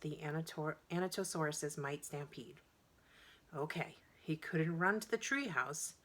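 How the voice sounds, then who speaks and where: Young boy adventurer played by a woman reader: nervous, hushed, urgent self-talk, storybook style. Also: low voice, measured pace. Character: anxious young boy adventurer talking himself through danger. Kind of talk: children's storybook character voice.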